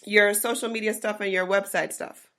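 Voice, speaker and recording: A woman's voice: medium pitch, fairly even intonation, normal speed, bright, forceful tone. A woman hosting a casual talk show, laughing easily and repeating phrases for emphasis. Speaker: host.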